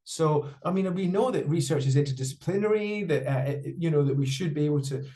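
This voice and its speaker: Guy interpreting a panel on a video call, kinda high voice, lots of uhs and restarts. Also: dark tone. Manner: halting delivery with frequent fillers and restarts. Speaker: sign language interpreter voicing deaf panellists. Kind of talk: spoken interpretation of a panel discussion.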